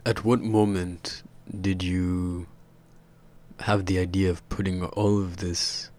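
A man's voice: deep voice, flat delivery, takes it slowly, darkish tone. A man interviewing, rephrasing his questions as he searches for the words.

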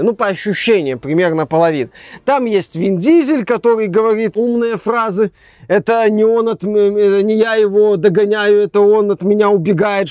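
A man's voice: sits high, darkish tone, no echo. A young man reviewing a game in casual conversation, sarcastic and rambling. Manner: sarcastic, rambling, trails off often.

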